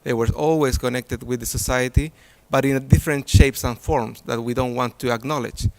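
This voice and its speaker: A man's voice: mid-range voice, fairly even intonation, normal speed, a little mellow. Man presenting an academic talk. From Chile. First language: Spanish. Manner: hesitant, many fillers and restarts. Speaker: conference presenter.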